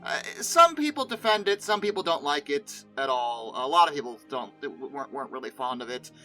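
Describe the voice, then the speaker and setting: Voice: medium pitch, expressive, fairly quick, sharp tone, soft background music, rough recording. A video host giving casual, opinionated commentary in a rambling, self-correcting, colloquial way. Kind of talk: casual commentary on film dinosaurs.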